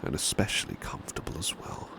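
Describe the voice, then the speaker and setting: A man playing a devoted, gentle British butler in an ASMR roleplay, speaking softly and breathily, often in a whisper, with tender deference. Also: quick pace, close to an everyday voice. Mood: soothing, affectionate. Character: devoted, gentle male butler soothing a sleepy master. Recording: clear background ambience noise, rain. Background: rain ambience.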